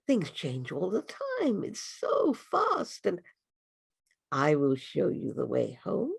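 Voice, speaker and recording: A storyteller voicing a gentle, wise butterfly guide in a soft, calm, reassuring way. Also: mid-range voice, gravelly, breathy edge, heavily acted.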